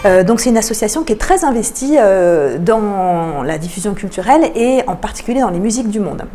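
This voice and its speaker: A woman giving explanatory answers as a radio interview guest, often pausing between phrases. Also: medium pitch, lively intonation, dark tone, slight background noise. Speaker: interview guest, cultural programme manager.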